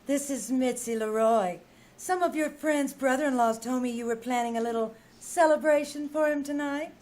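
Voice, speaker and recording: A woman's voice: sits high, moderate pace, darkish tone, natural voice. A woman playing a coy, sassy dancer who is brazenly businesslike, in an old-time radio comedy. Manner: coy, suggestive, teasing.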